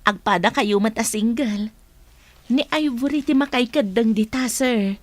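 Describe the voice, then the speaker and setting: A woman's voice: on the high side, a little slow, dry recording. A woman playing a teasing, nosy office colleague in a radio drama. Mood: prying, playful. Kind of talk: Ilocano radio drama acting.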